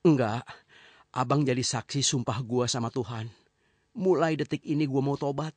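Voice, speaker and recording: A man's voice: medium pitch, moderate pace, darkish tone, husky, dry recording, lightly acted. A man voicing a male thief who swears to change his life, speaking earnestly. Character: repentant male thief vowing a new life.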